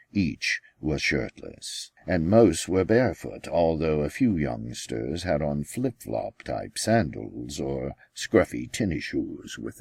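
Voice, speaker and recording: A man narrates a novel in a very deep voice with a creaky, gravelly edge. His delivery is slow and deliberate, with dramatic pauses and a widely swinging pitch. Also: darkish tone, no room sound, studio quality. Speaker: author reading own novel. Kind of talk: scripted audiobook narration.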